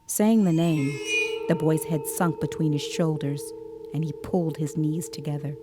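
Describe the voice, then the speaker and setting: An actress playing a composed, observant female interviewer, narrating an audio drama in a measured, precise way. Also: low-pitched, steady tone, noisy background, lightly acted. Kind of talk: scripted first-person dramatic narration.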